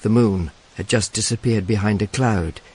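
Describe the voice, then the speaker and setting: A man's voice: fairly low pitch, moderate pace, a little mellow, slightly rough. An actor playing a wry Roman informer, narrating his misadventures in a dry, sardonic way for a radio drama. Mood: wry storytelling.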